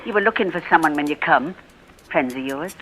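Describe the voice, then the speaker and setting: A woman's voice: low voice, lively intonation, brisk, a bit bright, slight background noise, low-quality recording. An actress playing a brusque, nosy working-class woman who calls people dearie, in a 1940s radio drama. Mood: soothing. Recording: old radio broadcast with music.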